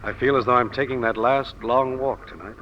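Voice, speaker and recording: A man's voice: mid-range voice, fairly even intonation, fairly quick, lo-fi sound, lightly acted. An actor playing a nervous but brave man facing death in an old-time radio drama, earnest, theatrical and apprehensive. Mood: resigned, somber. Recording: noisy old radio recording.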